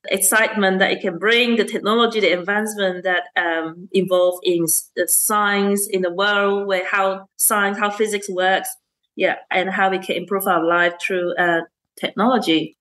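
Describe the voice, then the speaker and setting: A woman's voice: mid-range voice, fairly even intonation, moderate pace, a bit bright. Woman answering with many fillers. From Malaysia. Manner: hesitant, many fillers and restarts, laughs often. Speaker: STEM teacher and councillor. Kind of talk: casual interview answers.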